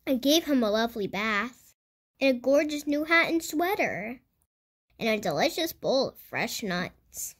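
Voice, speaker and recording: A woman reading a picture book aloud to children in an animated storytelling style. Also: on the high side, a little slow, slightly rough. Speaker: children's storybook reader and host. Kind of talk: animated read-aloud narration for kids.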